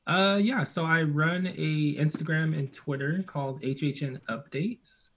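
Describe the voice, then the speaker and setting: A man talking as a guest on a video-call chat, relaxed and a bit hesitant. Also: on the high side, measured pace, slight background noise. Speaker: guest, merch creator. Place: home room, video call.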